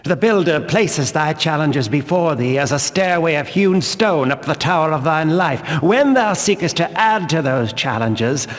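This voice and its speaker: An actor playing a stern, pious zealot guard in a fantasy video game, speaking in solemn, archaic, preachy diction. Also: male voice, a little high, fairly bright tone. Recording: long hall-like reverb.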